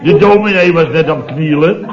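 A man's voice: on the high side, a little fast, heavy background noise, natural voice. An actor playing a flustered, long-winded married man rambling emphatically in a 1970s radio comedy sketch. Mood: self-important reminiscing. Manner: rambling, emphatic, peppered with tag questions. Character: married man, flustered long-winded comic storyteller complaining about wife. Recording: dull old radio recording, roomy, audience laughter.

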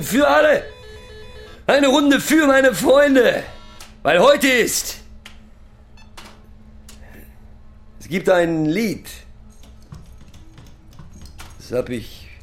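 A wistful father in a radio drama monologue. He speaks very slowly in a gravelly voice that swings widely in pitch, halting and repeating himself over music. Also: sing-song, heavily acted. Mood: boisterous. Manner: halting, repetitive, bursting into loud exclamations. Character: wistful father, a reluctant would-be singer reminiscing.

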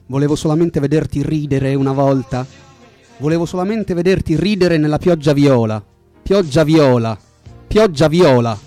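A man's voice: a little high, dark tone. A man reciting translated song lyrics as spoken word. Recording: voice over music bed. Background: music.

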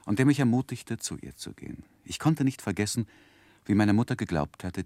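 An actor playing an earnest grown man who narrates his boyhood memoir in measured, reflective literary style for a 1960s radio drama. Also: low-pitched, a little mellow, dry recording.

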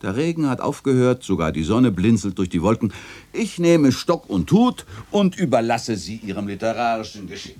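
An actor playing a genial old chronicler in a German radio play, courteous and literary in manner. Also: male voice, medium pitch, big pitch swings, normal speed, dark tone. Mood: gracious, genial.

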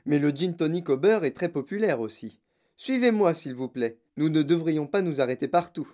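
A woman voicing an excitable, bossy, eccentric male candy maker in a storybook, speaking in emphatic exclamations. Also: deep voice, normal speed. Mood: triumphant, excited.

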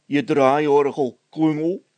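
A man's voice: a little high, level tone, slow, darkish tone, dry recording. A man voicing a blunt, teasing village labourer who mocks his mate in a storybook reading. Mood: mocking. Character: Bol, needling, teasing male village labourer.

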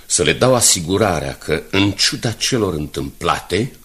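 An actor playing an ailing, grieving older newspaper owner in a radio drama, speaking slowly and solemnly in a weakened, gravelly, husky voice. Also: male voice, low-pitched, expressive, a bit bright, full character voice. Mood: solemn, moved. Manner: slow, halting, solemn delivery. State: weakened, weary. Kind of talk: 1980s Romanian radio drama acting.

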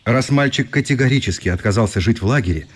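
A man's voice: mid-range voice, animated, quick pace, husky. An actor playing a politely defiant, ironic male newspaper correspondent in a radio play, speaking in courteous, articulate phrases. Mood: principled, ironic. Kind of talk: radio drama acting.

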